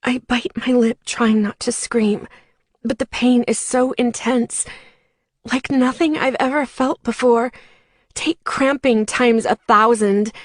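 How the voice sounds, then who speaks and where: A woman's voice: fairly high pitch, fairly even intonation, slow pace, dry recording, clean studio sound. A woman narrating a dramatic first-person audiobook in an emotive, scripted read.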